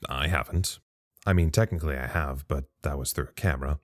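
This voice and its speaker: A man playing a thoughtful, uneasy log-keeper at a secret research site in an audio drama, measured and confiding. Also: a bass voice, no echo, studio quality. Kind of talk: audio drama monologue as a recorded incident log.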